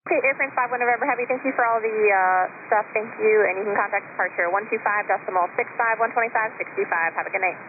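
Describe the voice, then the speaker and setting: A woman's voice: medium pitch, fast talker, few pauses. A woman working as an air traffic controller, giving polite procedural instructions over the radio. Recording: tinny, static-laden air traffic radio.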